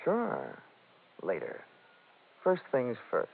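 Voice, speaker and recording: Wry radio detective, tinny vintage sound. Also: male voice, mid-range voice, huge pitch swings, drawn-out words, a little hiss or hum, full character voice. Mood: teasing, brisk. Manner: wry, smooth-talking, theatrical. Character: wisecracking, flirtatious, hardboiled male insurance investigator. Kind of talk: 1950s radio detective drama acting and narration. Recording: tinny 1950s radio recording, narrow band.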